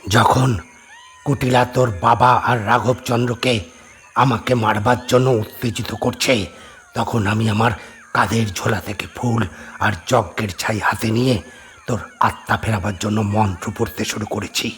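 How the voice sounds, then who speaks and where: A man's voice: medium pitch, level tone, normal speed, fairly bright tone, slight background noise, natural voice. A man playing a stern, vengeful spirit of a holy man in a horror audio drama, speaking with solemn, commanding emphasis. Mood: solemn, emphatic.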